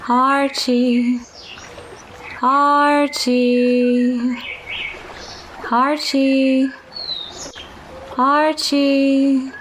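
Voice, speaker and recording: An actress voicing the sweet, clear, faraway voice of a dead wife calling out. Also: female voice, high voice, flat delivery, very slow, pauses often, a little hiss or hum. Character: ghostly late wife, sweet, calling from afar.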